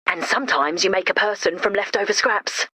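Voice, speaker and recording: A woman voicing a chirpy, confident, quirky young builder in a video game, brisk and matter-of-fact. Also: mid-range voice, pushed and bright, slightly rough, no echo, low-quality recording. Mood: wry. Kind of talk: video game character dialogue.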